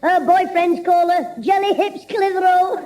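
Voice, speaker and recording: A man voicing a cheeky, mischievous schoolboy who cracks quick jokes, in a 1960s radio comedy. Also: very high voice, fairly even intonation, a little mellow. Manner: cheeky wisecracking. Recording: old radio broadcast.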